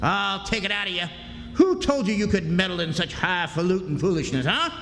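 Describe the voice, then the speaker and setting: High-pitched, bullying backwoods pappy. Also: male voice, expressive, fairly quick, vocal fry, a bit bright, full character voice. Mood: angry scolding. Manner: scolding rustic drawl with folksy dialect. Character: bullying, sneering, greedy backwoods father, coarse old man. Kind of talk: live old-time radio drama acting. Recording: echoey hall, live stage, hissy background.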